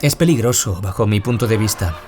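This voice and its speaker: A man's voice: fairly low pitch, expressive, fairly quick, lightly acted. A man with a Peninsular Spanish accent playing an earnest, reflective author who survived bullying, in a scripted radio interview. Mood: reflective, serious. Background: music.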